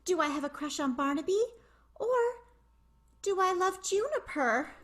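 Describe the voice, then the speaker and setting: A woman voicing a fretful, lovesick burlesque star in an improvised radio play, musing softly. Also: very high voice, expressive, normal speed. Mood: conflicted.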